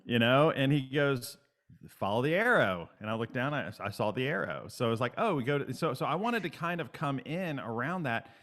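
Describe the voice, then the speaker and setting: A man co-hosting an interview, an animated, self-mocking storyteller. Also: mid-range voice, moderate pace. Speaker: podcast co-host. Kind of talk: casual interview chat.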